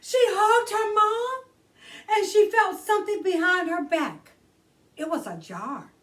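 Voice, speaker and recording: High, animated woman reading a children's story slowly. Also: recorded from a distance. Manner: expressive, deliberate, drawn-out emphasis on key words. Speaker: children's storytime host and reader. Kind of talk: read-aloud children's story narration.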